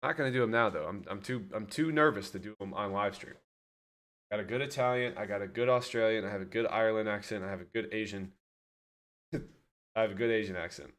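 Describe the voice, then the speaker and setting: A man's voice: lowish voice, brisk, a bit bright. Chatty man hosting a livestream. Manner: chatty, rambling, addresses viewers directly. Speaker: livestream host. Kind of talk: casual livestream chat.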